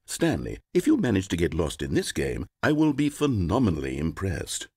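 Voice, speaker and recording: A wry, theatrical game narrator. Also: male voice, deep voice, wildly swooping pitch, normal speed, a little mellow, slightly rough, no echo, studio quality, exaggerated voice. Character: sardonic, theatrical male storyteller.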